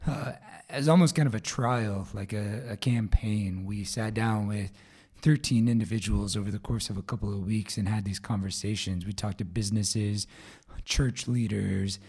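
A man with a raspy, hoarse voice hosting a solo podcast episode, talking casually with fillers and restarts. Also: fairly low pitch, darkish tone. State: hoarse from a vocal cord growth. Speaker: podcast host.

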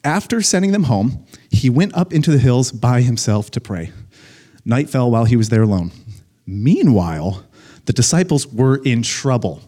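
A man's voice: mid-range voice, dark tone. An American man, a guest pastor, preaching conversationally to students in a casual, joking way with youthful slang. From United States, Illinois.

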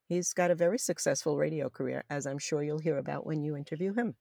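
A middle-aged woman with a deep voice for a woman, fondly and enthusiastically reminiscing in an interview. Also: quick pace, gravelly, warm tone, no room sound, studio quality. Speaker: interviewee, former college radio jazz announcer. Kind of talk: reminiscing in an oral history interview.

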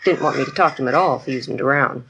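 A woman's voice: rumbling low, racing, fairly bright tone, husky, exaggerated voice, rough recording. An American actress playing a flustered, genteel, trusting woman shopkeeper in a 1950s radio drama, polite and fretful. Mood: trusting, troubled.

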